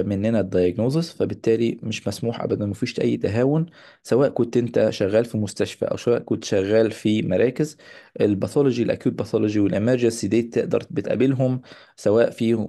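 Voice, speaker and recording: A man's voice: on the low side, gravelly, mellow. A man with an Egyptian Arabic accent giving an informal course announcement as a lecturer, mixing in English technical terms. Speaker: radiology lecturer. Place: voice-over on a slide presentation.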